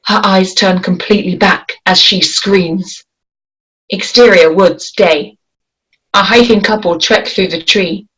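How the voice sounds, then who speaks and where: Slow, measured woman reading directions. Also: mid-range voice, fairly even intonation, a bit bright, slightly rough, poor audio. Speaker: narrator reading stage directions. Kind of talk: table read narration.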